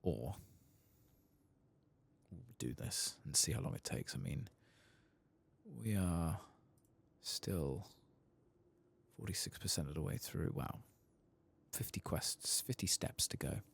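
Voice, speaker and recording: A man giving soft-spoken, partly whispered ASMR commentary on a video game, muttering hesitantly as he thinks aloud. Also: low-pitched, takes it slowly, gravelly, pauses often, husky. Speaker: host of a video game playthrough channel.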